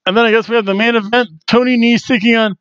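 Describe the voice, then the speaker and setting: A man's voice: very high-pitched, steady tone, fast, a bit bright, clear, resonant tone, no room sound, muffled recording. A man in his forties hosting a casual wrestling review from a room at home on a headset mic, chatty and jokey.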